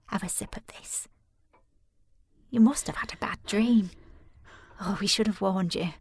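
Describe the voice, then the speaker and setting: An actress playing a soothing, dutiful housekeeper in a period audio drama, deferential and formal, with a husky, creaky voice. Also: female voice, medium pitch, measured pace, darkish tone.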